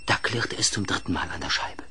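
A man narrating a children's audio play as a dramatic, deliberate storyteller with suspenseful pauses. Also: low voice, expressive, sharp tone, a little hiss or hum.

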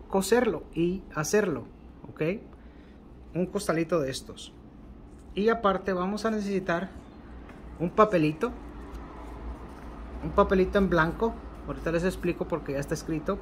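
A man with a Mexican accent giving a spoken tutorial on a ritual, unhurried and repetitive, ending phrases with a questioning "ok", recorded indoors at a table with a camera pointed at his hands. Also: high-pitched, slight background noise. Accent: Mexican Spanish. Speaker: occult ritual instructor. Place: indoors at a table covered with candles and ritual objects.

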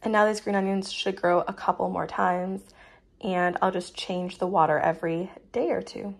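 An American woman in her thirties talks casually through home cooking. Her mid-pitched voice has a lot of fry in it. She explains each step in a chatty, informal way. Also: fairly even intonation, normal speed, a little hiss or hum. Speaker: home cook vlogger. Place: home kitchen, indoors.

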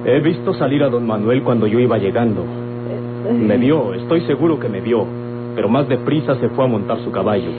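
A man's voice: medium pitch, expressive, a little fast, over loud music, close to an everyday voice. An actor playing a suspicious, jealous man in an old Mexican radio drama, insistent and questioning, with a Mexican accent. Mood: suspicious, concerned. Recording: tinny, very noisy, humming old radio recording.

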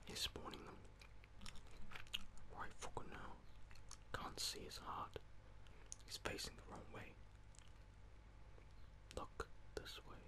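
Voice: slow, long pauses. A young gamer speaks entirely in a whisper, giving ASMR play-by-play of a video game. The delivery is casual and muttered, built from short reactions and the odd swear word. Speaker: gaming streamer. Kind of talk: whispered ASMR gameplay commentary.

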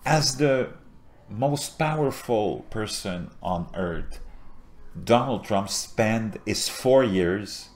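A man in his fifties from Montreal, a native French speaker with a French Canadian accent, talking straight to camera in an earnest political monologue. He swings between quiet murmurs and loud emphatic bursts. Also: on the low side, expressive, very slow, a little hiss or hum. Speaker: political video host. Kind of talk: impassioned political commentary to camera. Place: small indoor home setup with a virtual backdrop.

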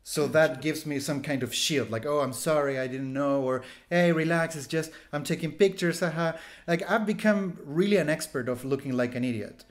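A man's voice: a little high, moderate pace, few pauses. A man in his thirties from Chile, speaking English with a Chilean accent as a second language, telling stories in a casual interview over a headset on a video call, full of fillers and restarts. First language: Spanish. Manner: rambling, frequent "like" fillers and restarts, animated gestures. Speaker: street photographer guest. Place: small room at home, indoors.

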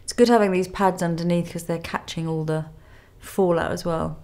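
A woman in her thirties with a cold and a nasal voice, calmly talking through a makeup tutorial step by step in a studio. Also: on the low side, moderate pace. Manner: calm step-by-step instruction while applying makeup. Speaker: makeup artist. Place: indoor studio with plain grey backdrop.

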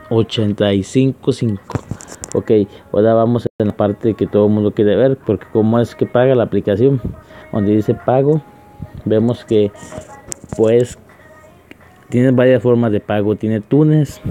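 Low, mellow man explaining. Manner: chatty, explaining step by step, tag questions. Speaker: tech tutorial host. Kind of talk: casual screen-recording walkthrough. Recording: dull lo-fi recording.

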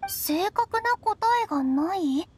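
A woman voicing a tiny childlike fairy companion in an anime game, brash, exclamatory and squeaky. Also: expressive, slow pace, a little mellow, faint music behind, full character voice. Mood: baffled. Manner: exclamatory, blunt. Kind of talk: Japanese anime game acting.

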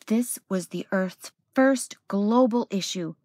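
A woman storyteller voicing an earnest, hopeful male scientist in a picture book, speaking in a measured, emphatic way. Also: medium pitch, a little mellow, no echo, studio quality. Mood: worried.

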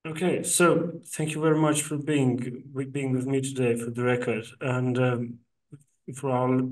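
A man with a Lithuanian accent wraps up a podcast in polite English that he is not fully sure of, restarting his phrases now and then. His voice sits at an ordinary middle pitch for a man, and it sounds set back from the microphone. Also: a little mellow, recorded from a distance, poor audio. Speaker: podcast host. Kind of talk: casual podcast sign-off chat.

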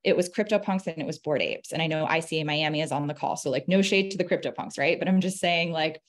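A woman's voice: low-pitched, fast. A woman in her thirties speaking as a curator in a panel discussion, articulate and explanatory, often checking in with "right?". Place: indoor room on a video call.

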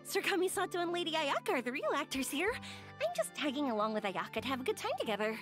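A bubbly excitable young woman. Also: high-pitched, quick pace, soft background music. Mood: cheerful. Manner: excitable, wide-eyed exclamations.

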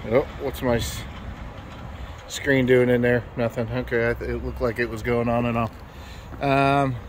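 A man's voice: fairly high pitch, a little hiss or hum. Easygoing middle-aged man vlogging. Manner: easygoing, rambling with fillers and restarts. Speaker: vlogger on a road trip. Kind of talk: casual vlog narration. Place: inside a car and outdoors at charging stations. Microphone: phone camera at arm's length.

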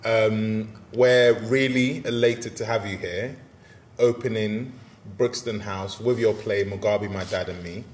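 Man, host, very low voice, roomy echo, faint room hum, measured questions. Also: fairly even intonation. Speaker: host, artistic director. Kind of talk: interview questions. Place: large rehearsal room indoors.

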